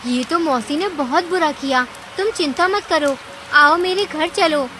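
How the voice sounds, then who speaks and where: Clever, kindly lady bird for a cartoon, voiced by a woman: chatty, coaxing, dramatic, with a high, bright tone. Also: measured pace, a little hiss or hum. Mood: concerned. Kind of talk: Hindi cartoon story acting.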